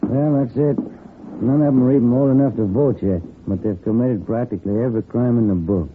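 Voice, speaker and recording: A man's voice: medium pitch, fairly quick, close to an everyday voice. A man playing a plain-spoken, tough police detective in an old American radio drama. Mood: businesslike. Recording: muffled, noisy old radio broadcast.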